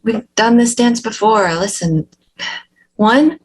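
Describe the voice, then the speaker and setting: A woman acting a brash, foul-mouthed but doting mother in a dramatic table read, with a husky voice. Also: fairly high pitch, expressive, slow pace, rough recording. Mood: apologetic, reassuring.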